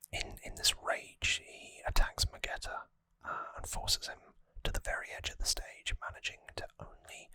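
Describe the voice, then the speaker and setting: Hushed ASMR whisperer, close and dry. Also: no room sound. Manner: unhurried whispering with hesitant pauses between short phrases. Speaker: ASMR host. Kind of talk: whispered ASMR trivia recap. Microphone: close microphone.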